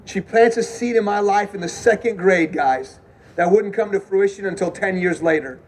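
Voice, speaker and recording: Animated high-voiced preacher on a dark stage. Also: male voice, moderate pace, husky. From Houston, Texas. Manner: animated, conversational, asks the crowd questions. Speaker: campus preacher. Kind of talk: evangelistic sermon with testimony. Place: dark stage in a large hall.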